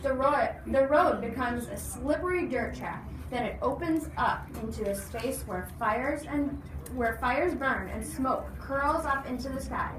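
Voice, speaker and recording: A woman's voice: sits high, animated, unhurried. A woman reading a story aloud expressively, putting questions to her listeners. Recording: echoey noisy room, distant mic.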